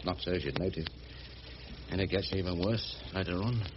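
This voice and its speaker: An actor playing a rough, good-hearted, middle-aged working man with a working-class English accent and colloquial speech in a radio drama. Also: low-pitched, brisk, natural voice. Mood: wry, worried. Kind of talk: BBC radio drama acting. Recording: dull, noisy old radio recording.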